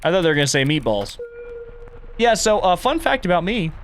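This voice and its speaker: Male streamer for casual playthrough commentary: lively, swooping pitch, noisy background. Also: brisk. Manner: reads prompts aloud and reacts, thinking out loud. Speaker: gaming content creator and streamer.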